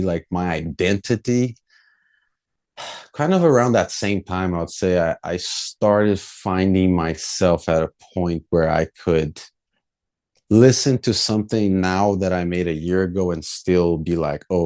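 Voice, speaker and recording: Man from Brazil, very low voice, mellow tone, relaxed reflective interview guest. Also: a little slow. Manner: relaxed, reflective, laughs easily. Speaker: musician guest. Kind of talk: casual interview chat.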